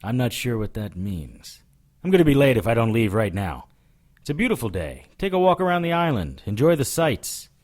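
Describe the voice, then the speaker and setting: A man's voice: medium pitch, big pitch swings, moderate pace, darkish tone, lightly acted. A man playing a wry, defiant supernatural investigator who narrates his case in an audio drama, dry and firm. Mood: placating. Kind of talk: first-person detective audio drama.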